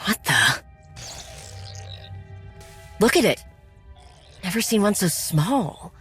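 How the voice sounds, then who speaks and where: A proud warrior mechanic in a video game. She talks in a practical, guarded way with a wry edge. Music sits softly underneath. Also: mid-range voice, very animated, slow pace. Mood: guarded concern. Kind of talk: video game cutscene acting.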